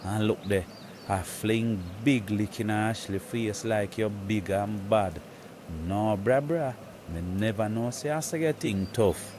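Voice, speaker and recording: An actor with a Jamaican accent playing a man caught between two women, talking in excitable patois in a comedy skit. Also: lowish voice, background noise. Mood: amazed. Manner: excitable, rapid indignant patois.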